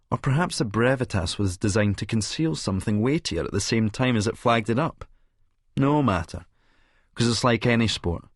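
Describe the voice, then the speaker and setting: An actor with a Scottish accent narrating an audiobook as a cynical, vain Edinburgh publican, smug, sardonic and wordy. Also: male voice, lowish voice, no room sound, clean studio sound. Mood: smug, calculating. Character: cynical, vain male Edinburgh publican and schemer, smugly self-satisfied. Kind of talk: first-person audiobook narration in character.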